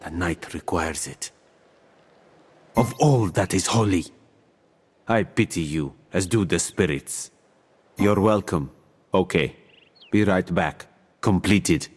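An actor playing a serene, smugly wise seer deity in a video game, speaking in a formal, measured, theatrical manner. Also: male voice, low-pitched, big-room sound, a little hiss or hum. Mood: calm, gracious. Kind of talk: video game character voice lines.

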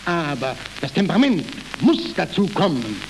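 A man's voice: a little high, big pitch swings, normal speed. Man reading as a fervent young radical through heavy crackle. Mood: insistent, fervent. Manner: declamatory, pressing, emphatic. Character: young radical believing freedom fighter, insistent and fervent. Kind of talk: dramatic reading of a stage play. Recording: noisy, crackling historical archive recording.

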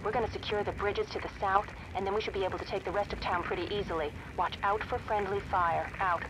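Man acting fast military officer over gunfire. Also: high voice, steady tone, noisy background, lightly acted. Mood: businesslike. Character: brisk male military radio officer relaying orders. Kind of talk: military radio briefing. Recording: loud artillery and gunfire behind.

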